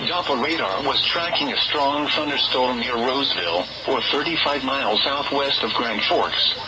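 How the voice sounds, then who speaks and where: A man's synthetic voice reads a scripted weather bulletin in a formal, measured way over a weather radio broadcast. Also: medium pitch, very noisy. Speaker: automated weather radio announcer.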